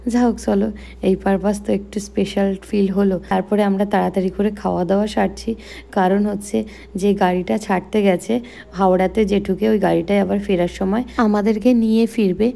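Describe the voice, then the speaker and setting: A woman's voice: medium pitch, fairly even intonation, normal speed, gravelly, warm tone. A young woman with a West Bengali accent narrating a casual daily vlog in a chatty, explanatory way. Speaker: vlogger.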